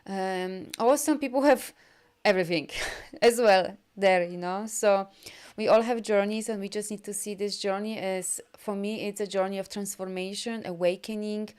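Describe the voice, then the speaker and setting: Upbeat Polish-born woman, smooth voice. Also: mid-range voice, steady tone, moderate pace, darkish tone. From Poland. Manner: loose rambling with many fillers and restarts; upbeat and encouraging. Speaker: energy healer and coach. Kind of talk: live video session reading viewer comments.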